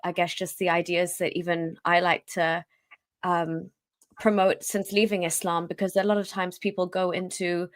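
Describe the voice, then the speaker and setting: A woman guest on a live online talk show, her voice fairly low for a woman and recorded dry and close. She talks in a friendly, rambling way, thinking aloud with plenty of fillers. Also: flat delivery, normal speed, no room sound. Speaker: guest, YouTuber. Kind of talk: casual chat, interview answers.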